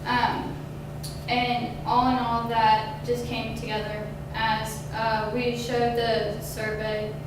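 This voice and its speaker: A young woman from Kentucky giving a class presentation in a flat, somewhat halting way. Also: on the high side. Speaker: student presenter. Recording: echoey room from far off with noise.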